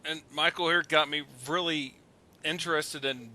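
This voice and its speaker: A man with a very sharp, forceful voice, slightly high in pitch, chatting on a voice call. He argues about films emphatically and insistently. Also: steady tone, moderate pace. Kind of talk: casual chat, film debate on a group voice call.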